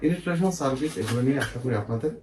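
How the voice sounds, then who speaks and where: A man's voice: medium pitch, rapid-fire. A man with a Bangladeshi accent casually presenting and explaining products as a seller, often checking in with the viewer. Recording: echoey room, faint noise, clicks.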